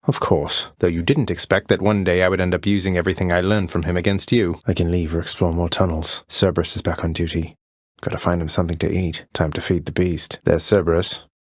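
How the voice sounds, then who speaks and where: Low, husky, wry young rebel prince. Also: male voice, flat delivery, brisk, no room sound. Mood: matter-of-fact. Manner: dry sardonic understatement, formal turns of phrase. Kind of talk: video game voice acting.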